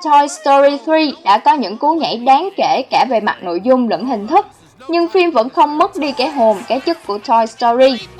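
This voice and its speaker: A woman's voice: sits high, animated, normal speed, a little mellow. A woman reading a scripted voice-over narration as the narrator of a countdown video. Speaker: narrator of a film countdown channel.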